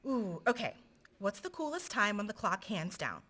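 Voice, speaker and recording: A woman's voice: medium pitch, very animated, moderate pace, sharp tone. A woman playing a teasing, beguiling young female graduate student in an amateur radio play. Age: young adult. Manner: teasing, playful.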